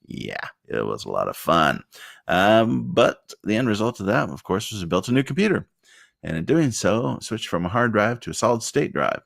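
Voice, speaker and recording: A man with a very deep voice giving a rambling, casual tutorial at his desk into a desktop mic, with lots of fillers and wry asides. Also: sing-song, normal speed, vocal fry, fairly bright tone, no room sound, clean studio sound. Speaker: video creator giving tips. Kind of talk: casual talking-head tutorial. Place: home desk. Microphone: desktop condenser mic.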